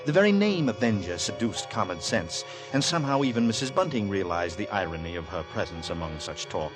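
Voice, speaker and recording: A man narrating in 1940s radio drama style, literary, deliberate and suspenseful. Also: lowish voice, big pitch swings, poor audio. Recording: vintage 1940s radio with music.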